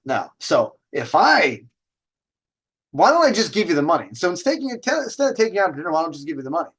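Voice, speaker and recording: A man's voice: on the high side, breathy edge. A man hosting a dating advice show, telling stories casually in a brash, emphatic way, drawing out stressed words and throwing in rhetorical questions. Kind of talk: casual storytelling monologue with advice to viewers.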